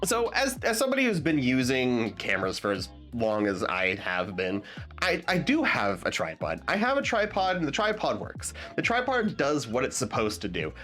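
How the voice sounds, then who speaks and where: Young male gear vlogger, slightly stuffed up, very animated pitch, loose and rambling. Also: moderate pace, soft background music. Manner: rambling, restarts often, asks viewers "you feel me?". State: stuffed up after being sick. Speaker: tech vlogger. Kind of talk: casual rambling product talk.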